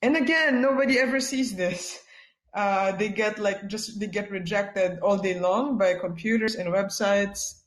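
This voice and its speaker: A woman's voice: medium pitch, a little slow. A woman from the Philippines, giving a casual webinar talk as a guest speaker, chatty and full of "like" and "yeah" fillers. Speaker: guest speaker, product manager. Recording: echoey room.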